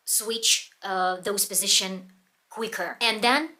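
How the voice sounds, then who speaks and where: Russian-accented lady explaining pronunciation tips, bright voice, stops to search for words. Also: mid-range voice. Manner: earnest, pauses to search for words. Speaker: language learning vlogger. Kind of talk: casual how-to talk.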